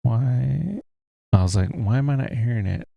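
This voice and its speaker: A man with a deep voice giving a relaxed, rambling tutorial from home, talking into a desk mic without a pop filter. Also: normal speed, creaky, dry recording, highs cut off. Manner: relaxed, rambling explanations with fillers. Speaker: tech tutorial host. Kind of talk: casual screen-recorded tutorial. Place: home room with a heater running. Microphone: USB desk mic without a pop filter.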